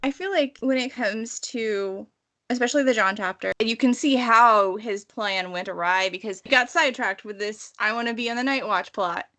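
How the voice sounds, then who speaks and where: Woman podcast cohost, bright voice, chatty and into it, goes off on tangents explaining stuff. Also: fairly high pitch, moderate pace. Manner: enthusiastic, digressive explainer. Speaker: podcast co-host. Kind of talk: casual, chatty book discussion.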